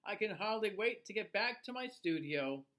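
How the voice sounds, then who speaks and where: A thoughtful young artist from a storybook as a man reads him aloud muses to himself in a kindly way. His intonation is lively and varied, as if easily inspired. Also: high-pitched, moderate pace.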